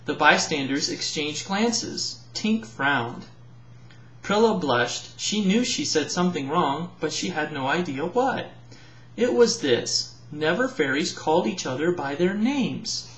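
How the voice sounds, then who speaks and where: A man's voice: a little high, expressive, slow pace, a bit bright. A man narrating a children's storybook aloud, as a parent reading to children. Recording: roomy echo, slightly dull home recording.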